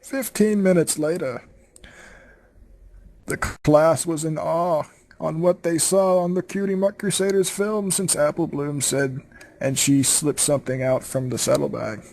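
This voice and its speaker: High voiced guy reading fanfic out loud, slow n theatrical, comic character voice. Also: steady tone. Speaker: comic fan fiction reader. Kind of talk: narration read aloud in a put-on persona.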